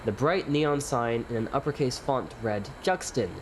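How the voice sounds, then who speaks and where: A man's voice: mid-range voice, animated, normal speed, background noise, lightly acted. A man playing a weary, glamorous performer who narrates his own story in an audio drama with sardonic, theatrical grumbling. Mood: wry, resigned. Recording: music behind the voice.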